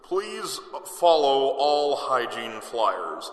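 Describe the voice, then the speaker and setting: Man acting a slow, echoing, pompous convention emcee wizard. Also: mid-range voice, sing-song. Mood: grand, disdainful. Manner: grandiose, haughty, formal announcing. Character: pompous, condescending magician master of ceremonies at a convention. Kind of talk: fantasy comedy audio drama. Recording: echoey hall with long reverb.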